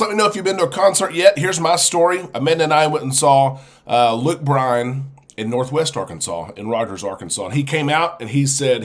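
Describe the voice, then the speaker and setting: Host, male, head cold, friendly live-chat patter, even mid pitch. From United States. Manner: friendly, chatty, sniffling. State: congested with a chest cold. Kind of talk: live-stream casual chat, greeting viewers.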